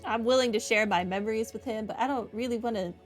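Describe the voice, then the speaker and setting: A woman's voice: fairly high pitch, quick pace. Chatty woman doing playthrough commentary. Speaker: gaming video host. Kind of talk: casual playthrough commentary, reading prompts aloud. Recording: game music behind the voice. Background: video game music.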